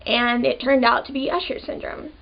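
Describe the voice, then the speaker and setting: A young woman of twenty with a high voice, talking to camera in a relaxed, chatty way and leaning on "like" between thoughts. The audio sounds a little dull and muffled. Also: normal speed, vocal fry. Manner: casual, chatty, many "like" fillers. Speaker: vlogger. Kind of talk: personal storytelling to camera.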